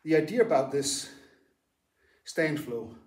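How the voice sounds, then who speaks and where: A man with a fairly high voice walks through karate stances while talking, in a plain and halting way with pauses between moves. He stands well back from the camera in a large dojo. The room rings with a strong echo. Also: animated, a little mellow. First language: Danish. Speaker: karate instructor. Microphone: camera some distance away while he moves. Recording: very echoey hall, voice far from the mic.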